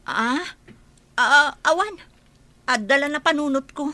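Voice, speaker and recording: A woman playing a troubled, worried parent with a husky voice in a Filipino radio drama. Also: sits high, lively intonation, measured pace, bright, forceful tone, dry recording, heavily acted. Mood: worried, brooding.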